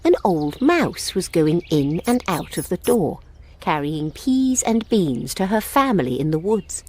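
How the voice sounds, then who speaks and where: Slow, lively low-voiced woman telling fairy tales. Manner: expressive, simple storybook delivery. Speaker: children's storyteller. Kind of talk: scripted fairy tale narration for toddlers.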